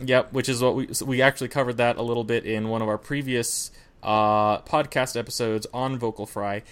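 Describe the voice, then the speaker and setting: A man co-hosting a podcast on singing, explaining in a relaxed, rambling way. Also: mid-range voice, brisk, clear, resonant tone. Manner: relaxed, rambling, many fillers and restarts. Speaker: podcast co-host, singer. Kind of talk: casual podcast chat.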